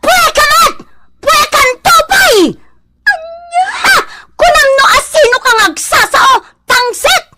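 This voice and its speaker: A woman's voice: extremely high pitch, slow, sharp tone, a little hiss or hum, full character voice. A woman playing a combative, protective mother in a radio comedy drama, scolding and taunting. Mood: shrill scolding. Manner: scolding, taunting, spitting insults. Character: hot-tempered, combative village mother, shrill and protective of her son.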